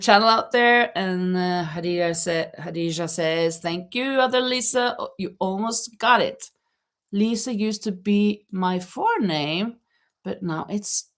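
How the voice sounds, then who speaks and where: Slow, chatty woman hosting a live chat. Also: medium pitch. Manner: chatty, laughs often, reads viewer comments aloud. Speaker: livestream host. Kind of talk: casual live chat while crocheting. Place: home in Spain.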